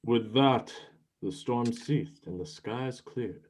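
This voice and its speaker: A man's voice: medium pitch, takes it slowly, a little mellow. A man playing the narrator of a myth play in an amateur reading, with scripted storytelling. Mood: calm narration.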